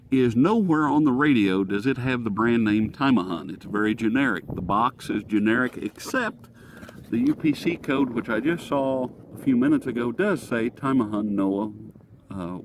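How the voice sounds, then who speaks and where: A man giving a casual, rambling gadget review outdoors, folksy and self-deprecating, easily sidetracked. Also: fairly low pitch. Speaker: product reviewer presenting to camera. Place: outdoors on a breezy day. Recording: breezy outdoor recording with wind noise. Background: light wind noise.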